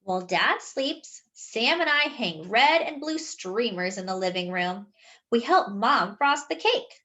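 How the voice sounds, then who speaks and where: A woman reading a children's picture book aloud, expressively and with animated delivery for young listeners. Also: a little high. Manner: animated, pausing to explain words.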